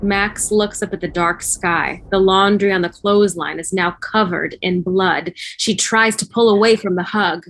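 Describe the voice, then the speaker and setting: A woman's voice: mid-range voice, a little slow, hardly any pauses, a bit bright, no room sound, rough recording. A woman reading stage directions as the narrator of a scripted table read.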